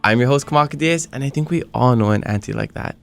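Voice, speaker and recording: A man's voice: medium pitch, fast, creaky, fairly bright tone, faint music behind. A man from Hilo, Hawaii, whose first language is Hawaiian, hosting a casual podcast interview and laughing often. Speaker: podcast host.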